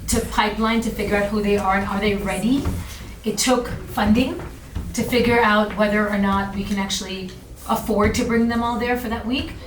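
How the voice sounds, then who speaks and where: A woman answers informally on a panel, stopping and starting as she stresses her points. Her voice is mid-pitched. She is heard at a distance in a room with plenty of echo. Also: fairly even intonation, moderate pace. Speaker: panelist, advocate for women entrepreneurs. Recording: echoey room, distant mic, clear noise.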